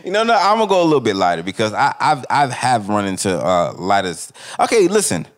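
A man's voice: fairly low pitch, huge pitch swings, fast talker. A young man co-hosting a podcast in loose, profane casual chat, returning again and again to "you feel me". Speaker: podcast co-host.

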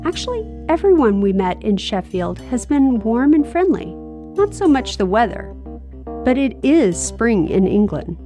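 A woman's voice: fairly high pitch, big pitch swings, normal speed, warm tone. A woman co-hosting a travel podcast, narrating in an upbeat, friendly way. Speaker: podcast co-host. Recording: narration over background music. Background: theme music.

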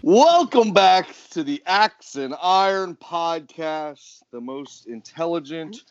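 A male podcast host with a lively, swooping voice, loose and profane in casual banter. The sound is dull and lo-fi. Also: a little high, slow, soft background music. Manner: loose, swearing, laughing banter.

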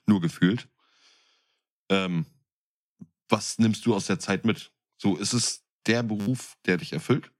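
A man's voice: on the low side, slow, pauses often. A man with a German accent talking casually and informally as a podcast co-host.

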